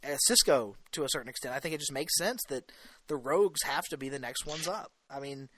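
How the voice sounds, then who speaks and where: Bright-voiced male podcast co-host, dry sound. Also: mid-range voice, expressive, a little fast, dry recording. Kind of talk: casual, opinionated fan discussion.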